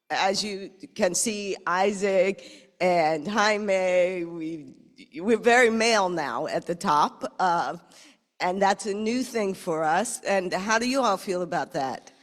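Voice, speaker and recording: A woman's voice: mid-range voice, animated, slow pace, big-room sound. A woman speaking as executive director to her staff, hesitant and self-deprecating about her Spanish. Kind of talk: speech to staff.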